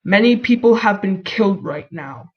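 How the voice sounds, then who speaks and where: Man, high voice, dull lo-fi sound, formal synthetic emergency alert reading. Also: expressive, normal speed. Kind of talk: scripted emergency announcement. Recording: dull lo-fi audio.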